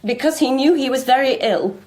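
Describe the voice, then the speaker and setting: Husky, lovelorn schoolmistress. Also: female voice, high-pitched, darkish tone, low-quality recording. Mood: grave, quiet. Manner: deliberate, frank, emotionally intense. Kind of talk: BBC radio drama acting.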